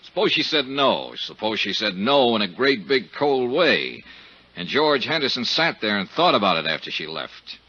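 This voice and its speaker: An actor playing a tough, shrewd insurance investigator with a sardonic, probing manner, in 1950s radio drama style. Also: male voice, mid-range voice, big pitch swings, moderate pace, bright, forceful tone, husky, heavily acted. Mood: probing, intent. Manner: sardonic, probing, hard-boiled. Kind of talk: 1950s radio drama acting and first-person narration. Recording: dull 1950s radio recording.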